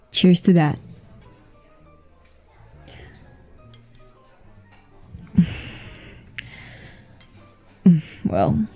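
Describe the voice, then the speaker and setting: A woman playing a flirtatious, easygoing businesswoman on a date, in a casual, intimate ASMR roleplay with a soft voice. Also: lowish voice. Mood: amused, teasing. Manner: unhurried, giggly, casual. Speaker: audio roleplay voice actor. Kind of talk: intimate ASMR roleplay acting, one-sided conversation. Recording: music behind the voice.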